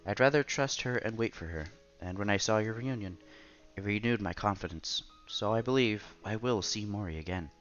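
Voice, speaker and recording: Low-voiced man reading a story aloud. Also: moderate pace, fairly bright tone, highs cut off. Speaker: streamer reading a visual novel aloud. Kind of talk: expressive read-aloud of story narration and dialogue. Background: game music.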